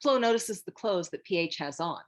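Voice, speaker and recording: A woman's voice: fairly low pitch, lively intonation, a little fast. A woman narrating scripted stage directions for a screenplay reading.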